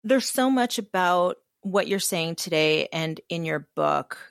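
A woman in her forties hosting a podcast interview, telling stories in an animated, engaged way. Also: on the low side, a little slow, gravelly, no echo, clean studio sound. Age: 40s. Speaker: podcast host. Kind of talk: conversational interview.

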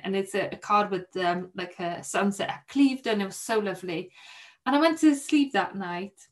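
A young Polish woman, a podcast guest, telling a personal story in a soft, hesitant way with many restarts. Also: mid-range voice. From Poland.

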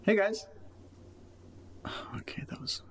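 A man's voice: slow, in a whisper, lightly acted. An actor playing a casual, self-mocking young man recording an audio diary in a naturalistic found-footage drama. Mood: awkward, casual. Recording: faint background noise, ambience.

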